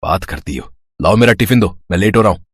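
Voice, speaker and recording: A man voicing an irritable, curt married son and husband in a family story, with a slightly husky voice. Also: low-pitched, lively intonation, quick pace, exaggerated voice. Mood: irritated. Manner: curt, scolding.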